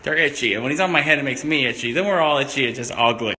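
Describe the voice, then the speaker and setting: A man voicing a goofy, eager, folksy sheep herder in a comic fairy tale, chatty and excitable. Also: medium pitch, racing, a little hiss or hum, lightly acted. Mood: earnest, fussy. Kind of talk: comic improvised storytelling.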